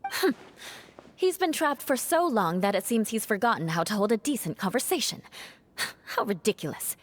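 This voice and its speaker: A woman's voice: a little high, sing-song, normal speed. A woman playing a proud, sardonic young noblewoman knight in a video game, haughty, sarcastic and clipped. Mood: exasperated. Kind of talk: video game cutscene acting.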